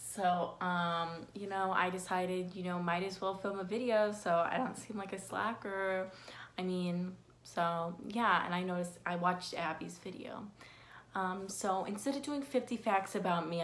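A woman's voice: medium pitch, a bit bright. A sixteen-year-old girl chatting casually to her phone camera in a bedroom, rambling through facts about herself with lots of fillers and self-deprecating asides. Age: teens. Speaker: vlogger. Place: bedroom with window blinds, indoors. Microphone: phone camera at close range.

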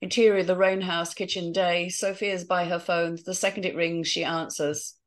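A woman's voice: on the low side, steady tone, normal speed. A woman reading out scene headings and stage directions in a script read-through over a video call.

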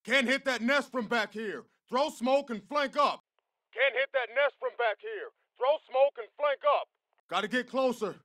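A man's voice: very high-pitched, pushed and bright, no echo, clean studio sound, heavily acted. An actor playing a tough, confident American Marine squad leader in a video game, commanding his men in clipped, salty military jargon. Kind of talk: video game combat dialogue.

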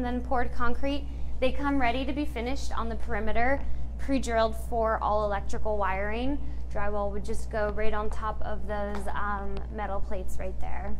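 A woman's voice: on the high side, level tone, normal speed, a little hiss or hum. Friendly woman giving a home tour. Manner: friendly, informal, with filler words. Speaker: home builder tour guide. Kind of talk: casual walkthrough home tour. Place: indoors in an empty show home.

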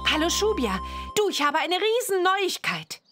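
A woman playing an eager, perky young witch girl, the heroine of an animated children's game. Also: very high voice, moderate pace, over loud music. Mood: eager, excited.

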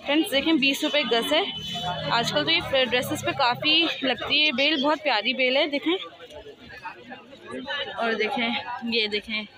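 A woman's voice: sits high, a little slow, pauses often. A woman with a Pakistani Urdu accent vlogging a market tour, chatting to viewers and asking sellers prices. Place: busy outdoor street market. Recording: crowded outdoor market noise. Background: crowd chatter and traffic.

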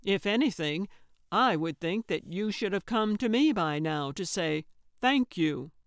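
A woman's voice: on the low side, unhurried, no room sound, studio quality, close to an everyday voice. A woman voicing a grumpy, stubborn man with a curt, exasperated manner in an audiobook. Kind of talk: audiobook character voice.

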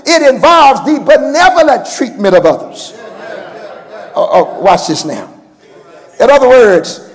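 An older man with a gravelly voice, preaching an impassioned, emphatic sermon and calling on his congregation. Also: very high-pitched. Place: large church sanctuary, at a pulpit. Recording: church hall with long reverb.

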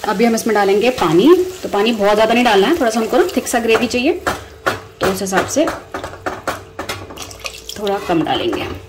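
A woman's voice: medium pitch, animated, a little fast, pauses often. A woman in her thirties with an Indian accent, giving a casual, conversational cooking voice-over with English words mixed in. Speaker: home cooking vlogger and host. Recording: voice-over with clear sizzling kitchen noise.

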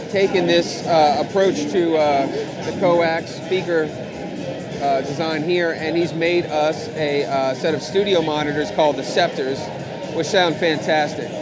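A man in his thirties presenting products informally as a company representative, in an explanatory, matter-of-fact way. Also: fairly high pitch. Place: large indoor trade show hall, at a booth. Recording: background music and trade show floor bustle.

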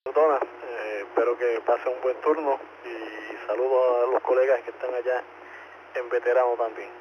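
A man's voice: medium pitch, fairly even intonation, normal speed. Man on muffled, noisy ham radio. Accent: Puerto Rican Spanish. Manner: courteous. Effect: two-way radio transmission. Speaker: amateur radio net controller. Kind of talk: radio net check-in exchange. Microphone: two-way radio. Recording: muffled ham radio transmission with clear static.

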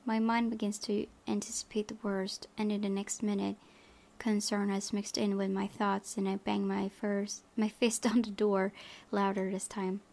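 Creaky-voiced woman reading a story aloud. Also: mid-range voice, level tone, moderate pace, darkish tone. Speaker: let's play host reading aloud. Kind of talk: reading visual novel narration aloud with casual asides.

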